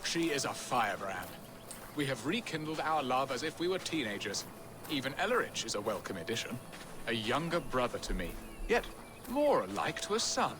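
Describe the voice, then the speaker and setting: Over clearly noisy outdoor game sound, a man performs a jovial, scheming Saxon lord. His voice swings widely in pitch, flamboyant and florid, savoring every theatrical phrase of medieval drama. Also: very animated, normal speed, lightly acted. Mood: fond. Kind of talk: dramatic video game cutscene acting.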